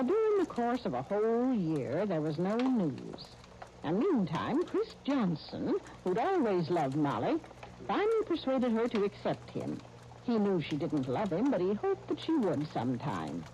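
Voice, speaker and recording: A woman's voice: medium pitch, wildly swooping pitch, measured pace, mellow. A woman playing a homely, cheerful storytelling housewife in a folksy, chatty 1930s radio style. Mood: earnest storytelling. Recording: noisy 1930s radio broadcast disc.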